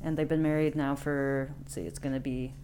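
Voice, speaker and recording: An American woman in her thirties with a notably low voice tells personal stories as an interview guest. She speaks informally, thinking aloud as she goes. There is a faint hum in the recording. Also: little pitch movement, brisk, dark tone. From Independence, Missouri, United States. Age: late 30s. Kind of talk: casual chat, personal storytelling.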